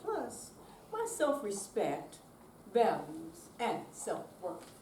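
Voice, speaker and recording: An older woman giving a dramatic reading of a reflective monologue with deliberate, emphatic phrasing. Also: mid-range voice, huge pitch swings, darkish tone. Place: indoor senior center performance room. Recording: distant echoey room, clear noise.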